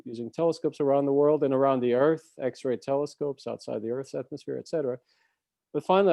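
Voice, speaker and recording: A man's voice: medium pitch, creaky, dark tone, no echo. A man from Portugal, an astrophysicist, talking politely and conversationally on a webinar video call. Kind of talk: informal self-introduction in a webinar. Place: indoor room, video call.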